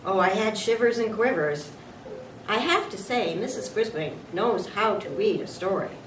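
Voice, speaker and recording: An excitable, nervous little storybook hamster, read by a woman, chatting in a wide-eyed, confiding way. The recording is echoey and distant, with clear background noise. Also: mid-range voice, moderate pace, close to an everyday voice. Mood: excited. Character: small excitable classroom pet hamster, male, chatty and nervous.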